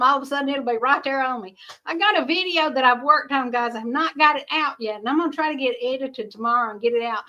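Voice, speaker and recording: A middle-aged woman with a high-pitched voice, rambling chattily to viewers about her homestead, often laughing. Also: sharp tone, low-quality recording. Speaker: homestead vlogger. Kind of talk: casual chat to viewers.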